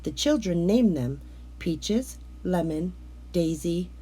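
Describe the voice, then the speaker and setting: A woman reading a children's picture book aloud as a narrator, in a measured, expressive storytelling manner with drawn-out words. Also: lowish voice, husky.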